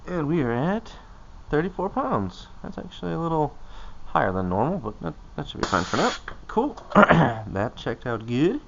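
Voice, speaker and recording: A man's voice: on the high side, very animated, normal speed, creaky, dull audio, noisy background. A man casually talking himself through a hands-on task as a vlogger, in short, loose, muttered phrases. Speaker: vlogger doing his own car maintenance.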